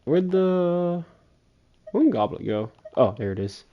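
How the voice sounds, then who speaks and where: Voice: mid-range voice, sing-song, takes it slowly, muffled recording. A game streamer giving casual livestream commentary, muttering and thinking aloud while playing.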